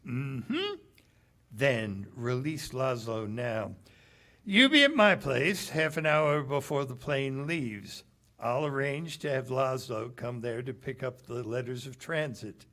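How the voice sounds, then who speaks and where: An actor playing a cynical, streetwise American cafe owner, slangy and brash, in 1940s radio theater style. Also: male voice, medium pitch, expressive, slow pace, pushed and bright, heavily acted. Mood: scheming.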